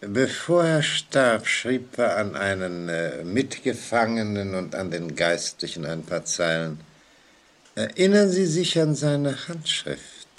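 Prison inspector, unhurried, fairly deep, formal, patronizing, reassuring, crime radio drama. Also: male voice, sing-song. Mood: measured, solemn. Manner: measured, formal, deliberate. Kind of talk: German crime radio play acting.

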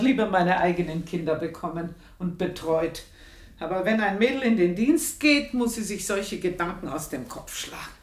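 A woman's voice: fairly low pitch, echoey room, close to an everyday voice. An actress playing an earthy, proud, aging housemaid, confiding her memories in a radio play monologue. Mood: bitter resignation. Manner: confiding, unhurried storytelling with pointed pauses. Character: earthy, proud aging housemaid reminiscing about past desires.

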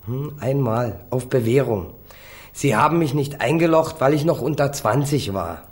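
A man's voice: mid-range voice, animated, moderate pace, lightly acted. A man acting a defiant, street-tough young small-time crook in a German radio crime drama, flippant and slangy. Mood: defiant, irritated. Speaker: actor playing a defendant.

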